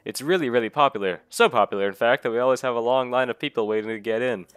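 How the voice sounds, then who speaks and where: A nasal-sounding man recovering from a cold, reading the lines of a cheerful, flirty female innkeeper in a desert town, gushing in quick sales talk. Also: mid-range voice, clean tone, no echo, lightly acted.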